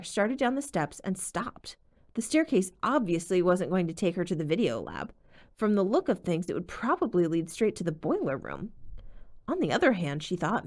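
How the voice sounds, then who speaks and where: A woman narrates a novel aloud as an audiobook, her voice sitting on the lower side. She tells the story expressively and keeps it moving. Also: a little mellow. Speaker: audiobook reader.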